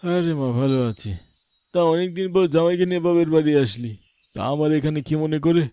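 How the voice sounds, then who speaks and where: Casting: male actor as a kindly older village uncle, mellow, slightly high voice, folksy cartoon elder. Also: fairly quick, smooth, no echo, studio quality, close to an everyday voice. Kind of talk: cartoon voice acting.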